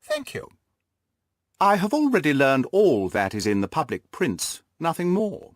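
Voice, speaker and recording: A man voicing a suave, composed detective who questions a client briskly and inquisitively in an audiobook. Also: a little high, huge pitch swings, dry recording, studio quality, voice pushed up. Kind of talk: audiobook character dialogue.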